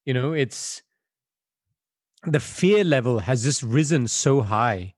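A man's voice: medium pitch, animated, slow pace, no room sound, clean studio sound. A man from Belgium, a guest on an interview, answering in a reflective, conversational way with frequent fillers over a video call.

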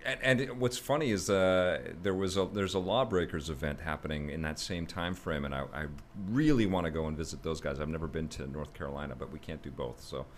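A man's voice: very deep voice, slight background noise. A man hosting a casual podcast, easygoing and agreeable, leading the talk and asking questions. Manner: easygoing, agreeable, quick to laugh along. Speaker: podcast host.

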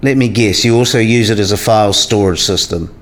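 A man acting a relaxed, know-it-all professor in a radio play, explaining things with dry, teasing wit. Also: fairly low pitch, fairly quick, lightly acted.

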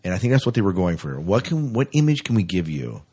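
A man's voice: low voice, sing-song, fast talker, dark tone, slightly rough. An American man hosting a podcast in a conversational style, posing questions as he talks. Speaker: podcast host.

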